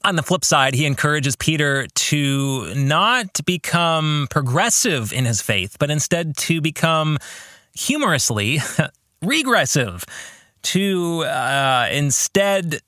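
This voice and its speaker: Animated, bright-voiced man hosting a podcast. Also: a little high, no echo, clean studio sound. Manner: deliberate phrasing, posing questions to the listener. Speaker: podcast host and author discussing his own book. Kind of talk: reflective book-club podcast monologue.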